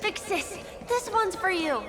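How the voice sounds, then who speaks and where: A woman voicing a gentle, awed little girl in a video game cutscene, speaking slowly and with wonder. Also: extremely high pitch, lively intonation, noisy background.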